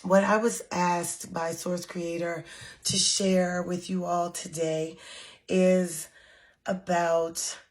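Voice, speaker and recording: A woman leading a spiritual coven, talking casually to her followers while she recovers from losing her voice, with many pauses and ums. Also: lowish voice, little pitch movement. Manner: slow, unhurried, with frequent ums. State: recovering from lost voice. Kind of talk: casual spiritual talk to camera.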